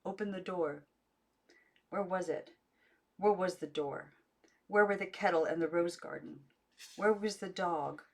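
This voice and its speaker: Female amateur audiobook narrator, lower-pitched, scripted children's fiction read aloud. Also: a little slow, long pauses.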